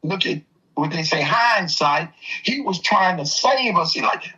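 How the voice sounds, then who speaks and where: A man's voice: high-pitched, animated, measured pace, pushed and bright. An older man in casual, opinionated conversation, telling stories in an emphatic, streetwise way. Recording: dull, lo-fi audio.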